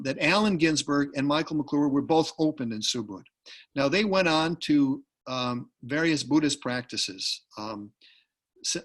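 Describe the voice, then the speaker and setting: A man speaking as a poet at a literary tribute over a video call, talking and reading aloud in an unhurried, deliberate way. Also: fairly high pitch, a bit bright. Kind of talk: literary talk with poetry readings.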